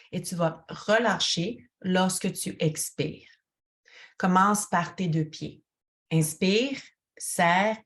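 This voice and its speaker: Female guided-meditation voice, lowish register, very unhurried delivery, calm and instructional. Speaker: yoga teacher guiding a relaxation. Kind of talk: guided meditation, addressing one listener.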